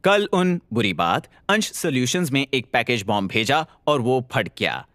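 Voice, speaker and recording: A man narrating a scripted video in a playful, animated storytelling manner. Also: medium pitch, moderate pace, dry recording, clean studio sound.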